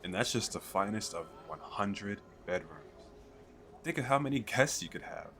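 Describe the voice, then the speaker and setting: A man playing an eager, chatty fantasy merchant in an audio roleplay, talking the listener through a sales pitch in a hesitant, rambling, persuasive way. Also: animated, normal speed, a bit bright. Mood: proud, enthusiastic. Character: eager, chatty male fantasy merchant selling magical crystal castles.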